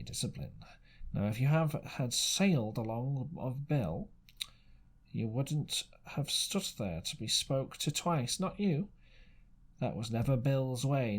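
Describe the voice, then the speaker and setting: A man voicing a menacing, fawning and sneering seafaring stranger in an adventure storybook, with drawling, wheedling sailor talk and sly pauses. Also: medium pitch, breathy edge, full character voice. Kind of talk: audiobook character voice.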